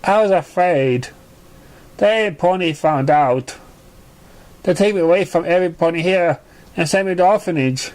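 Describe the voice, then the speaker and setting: A man's voice: sits high, normal speed, pauses often, darkish tone, close to an everyday voice. A man voicing an anxious, apologetic young girl pony in a story reading. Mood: afraid, sad.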